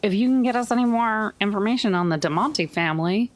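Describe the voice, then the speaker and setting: A woman's voice: mid-range voice, quick pace. A woman playing a tabletop roleplaying game, chatting casually and thinking things through with wry humor. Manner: wry, reasoning aloud. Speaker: player. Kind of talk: casual tabletop actual-play chat.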